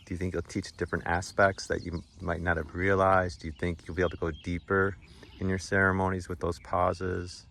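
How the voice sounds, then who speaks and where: Very deep, gravelly-voiced male interviewer. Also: monotone, a bit bright. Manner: halting, rephrases his questions. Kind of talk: casual interview questions.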